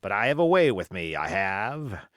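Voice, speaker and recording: A man's voice: on the low side, big pitch swings, moderate pace, fairly bright tone, no echo, clean studio sound. A man voicing a sly, boastful, commanding pirate cook in an audiobook, with emphatic rustic seafaring talk. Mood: boastful, confiding. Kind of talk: audiobook character voice.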